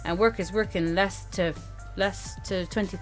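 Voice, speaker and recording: Female let's-play host, mid-pitched, informal, reading on-screen text aloud with chatty asides, music behind her. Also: steady tone, moderate pace. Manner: informal, stumbles over read text and comments on it. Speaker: gaming video host.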